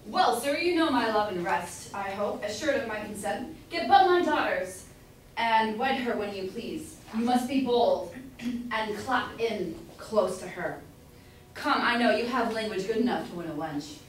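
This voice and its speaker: A woman's voice: medium pitch, lively intonation, measured pace, close to an everyday voice. A woman playing a stern, haughty old merchant father in a staged reading of a period comedy. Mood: hearty, approving. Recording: echoey room from far off, faint noise.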